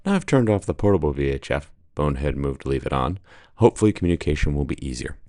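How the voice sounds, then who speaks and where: A man narrating his solo sailing trip in a dry, deadpan voice-over with wry humor. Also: a bass voice, fast. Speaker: solo sailor vlogger.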